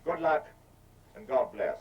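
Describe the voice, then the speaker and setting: A man's voice: unhurried, muffled recording, very noisy. A man giving a formal speech to troops as an official at a military ceremony, on 1970s archive film sound.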